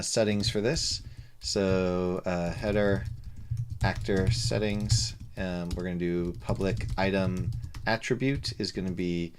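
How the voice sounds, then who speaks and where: A man with a very low, soothing voice talks through his code on a live stream. He wanders, fills gaps with ums and uhs, and drops dry jokes along the way, with keys clicking behind him. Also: slight background noise. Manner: rambling, self-talk with many fillers and asides. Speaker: game developer streamer. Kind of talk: live coding stream, casual narration.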